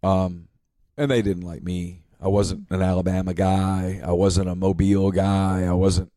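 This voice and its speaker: A man's voice: low-pitched, completely flat delivery, a little slow, dark tone, dry recording, clean studio sound. A man speaking casually as a podcast co-host. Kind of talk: casual storytelling.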